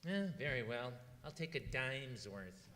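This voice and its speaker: Guy doing corny lovesick dope from old radio comedy, real slow and hesitant, super sharp voice, hall echo. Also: mid-range voice, sing-song. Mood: sheepish. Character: mild, goofy, lovesick male flute-playing suitor. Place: live performance in a museum hall. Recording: reverberant hall, live stage.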